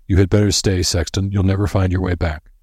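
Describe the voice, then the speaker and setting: A man playing a courteous Prime Minister under strain, speaking in a quiet, measured, unemotional storybook voice. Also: sits low, level tone, husky, no echo, clean studio sound. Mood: calm, courteous.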